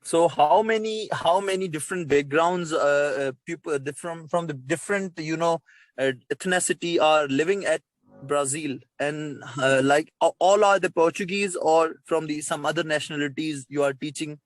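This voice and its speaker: A man's voice: on the high side, normal speed, no echo. A man from Pakistan with a Pakistani accent and Urdu as his native language, interviewing informally with hesitant, repetitive, wordy questions.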